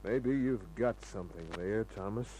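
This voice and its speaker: An actor playing a worldly, knowing man with a deliberate delivery in an old-time radio drama. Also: fairly low pitch, warm tone, natural voice, rough recording. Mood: knowing, soft. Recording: old radio recording, faint hum.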